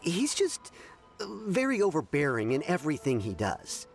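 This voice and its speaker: A man's voice: a little high, huge pitch swings, normal speed, over loud music. A man voicing a chatty, easygoing townsman with a Southern American accent in a video game. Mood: frank. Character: chatty easygoing townsman sharing street gossip. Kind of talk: video game dialogue acting.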